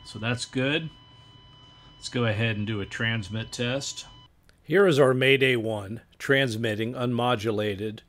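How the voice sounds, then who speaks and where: A middle-aged American man narrating an instructional bench review in a measured, precise technical manner. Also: medium pitch, a bit bright. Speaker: host, radio equipment reviewer. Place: indoor electronics workbench.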